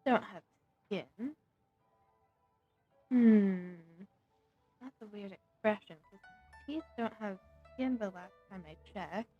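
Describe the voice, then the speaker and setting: Mellow, lo-fi female game streamer. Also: mid-range voice, takes it slowly, pauses often. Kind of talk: casual gameplay reactions. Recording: dull lo-fi mic over game music. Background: video game audio and music.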